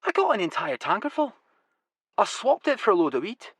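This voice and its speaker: A wild-eyed Glasgow grower with a raspy, high-pitched voice, as a male narrator puts him on. He talks in an eager, proud rush that swings up and down. The storybook reading sounds dry and close. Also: wildly swooping pitch, fairly quick, fairly bright tone, dry recording, full character voice. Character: wiry older Glasgow man, excitable cannabis grower.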